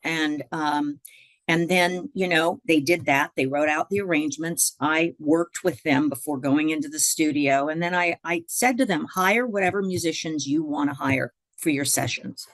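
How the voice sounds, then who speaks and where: A woman's voice: deep voice, normal speed, dry recording. A woman jazz singer and songwriter, a guest in a conversational interview, telling rambling stories with many fillers.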